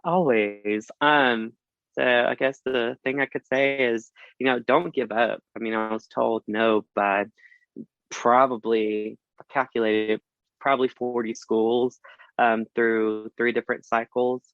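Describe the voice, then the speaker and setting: A man in his twenties from Alabama, a dental student guest in a casual interview, talking in a relaxed, halting way with many fillers. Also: mid-range voice, no room sound, muffled recording. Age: 20s.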